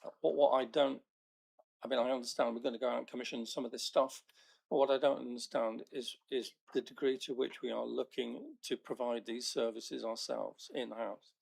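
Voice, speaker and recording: A man, a councillor, asking questions at a council meeting, halting with many restarts and fillers. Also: mid-range voice, flat delivery, creaky, darkish tone, slightly rough, poor audio.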